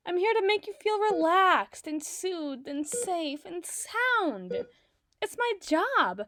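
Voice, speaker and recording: A woman playing an obsessive, doting doctor in an ASMR roleplay, speaking softly and articulately with theatrical tenderness. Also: sits high, full character voice. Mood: soothing, coy. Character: obsessive, doting yandere woman doctor, soothing but possessive. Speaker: audio roleplay voice performer.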